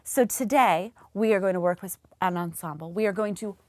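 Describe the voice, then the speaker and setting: A woman's voice: medium pitch, fairly quick, a little mellow, no echo, studio quality. A woman hosting a children's read-aloud, speaking in an exaggerated, encouraging way to young children. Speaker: story-time host.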